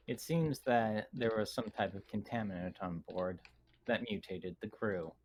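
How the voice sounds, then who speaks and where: A man playing a polite, analytical, literal-minded android in a tabletop game, speaking in a formal, measured, clinical manner. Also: fairly low pitch. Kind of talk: in-character tabletop roleplaying.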